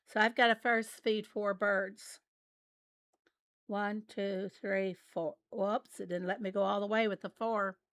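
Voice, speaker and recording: A woman with a raspy voice, chatting casually with viewers while she plays a farming game. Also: medium pitch, fairly even intonation, a bit bright, no room sound. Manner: unhurried, chatty, reads out and answers viewer messages. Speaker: game livestreamer. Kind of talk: casual livestream chat while playing.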